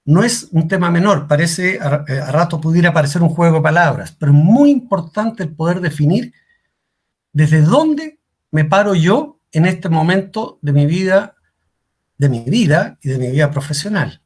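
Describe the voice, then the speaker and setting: A man's voice: a little high, expressive, moderate pace. A middle-aged man giving a career workshop talk as a coach, asking rhetorical questions and repeating key lines for emphasis. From Chile.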